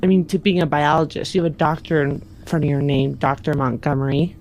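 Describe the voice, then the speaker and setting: A woman's voice: deep voice, fairly even intonation, a little fast, a little mellow, pitched below the performer's own voice. A woman playing a dry, teasing adventurer in a tabletop game, wry and polite. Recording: music under the voice.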